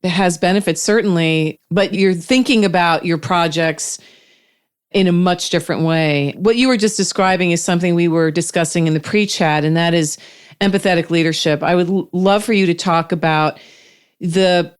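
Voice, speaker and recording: A middle-aged woman hosts an interview, asking thoughtful questions and feeling her way through them. Her voice is smooth and clear, set a little low. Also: moderate pace. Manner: thoughtful, searching for words while asking. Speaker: podcast host, interviewer.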